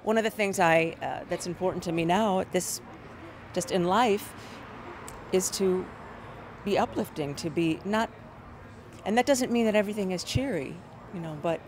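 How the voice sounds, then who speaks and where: Hesitant soft-spoken woman singer. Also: fairly low pitch, normal speed, long pauses, slight background noise. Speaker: singer, guest. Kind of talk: interview conversation.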